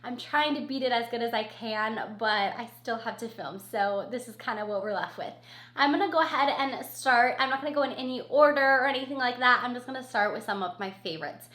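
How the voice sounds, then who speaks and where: A woman's voice: a little high, fairly quick, fairly bright tone, echoey room. A woman beauty vlogger with a cold and a scratchy, sniffly voice, chatting casually and gushing about her favorites, repeating words for emphasis. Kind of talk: casual product review chat.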